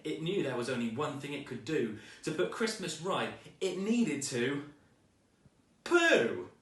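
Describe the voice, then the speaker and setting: Male children's story reader: very animated, unhurried, dramatic rhyming delivery, roomy sound. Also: fairly high pitch. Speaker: teacher reading aloud. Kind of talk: expressive children's storybook reading. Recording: echoey room, distant microphone.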